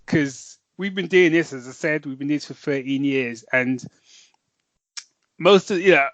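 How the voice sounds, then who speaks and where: A man with a fairly high voice co-hosts a podcast in loose, laughing chat. He keeps calling his friend "man" and "mate" as he gets carried away retelling a film. The sound is close and dry. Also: a little slow, a bit bright, no echo. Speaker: podcast co-host. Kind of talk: casual chat.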